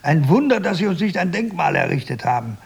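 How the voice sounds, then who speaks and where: A grumpy old man of eighty in a radio drama. His voice is fairly high and creaky, and he grumbles in a pedantic, self-important way. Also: sing-song, a little fast, full character voice. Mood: self-important, grumbling. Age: older. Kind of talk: German radio play acting.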